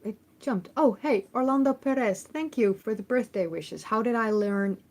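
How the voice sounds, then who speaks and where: A woman's voice: on the high side, moderate pace, a little mellow. A woman in her thirties with a Dutch accent, hosting a casual livestream Q&A and reading chat questions aloud, chatty with many ums and restarts. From Netherlands. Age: 30s. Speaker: history YouTuber and host. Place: temporary room in a family home. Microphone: phone microphone.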